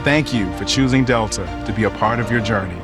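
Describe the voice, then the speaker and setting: A man's voice: fairly low pitch, a little fast. A man reading a scripted narration for an airline video. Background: music.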